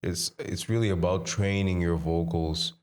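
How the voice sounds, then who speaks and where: Very deep-voiced young man. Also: fairly even intonation, measured pace, a little mellow. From Mozambique. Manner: informal, repeats phrases for emphasis. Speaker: singer, podcast guest. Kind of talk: casual chat.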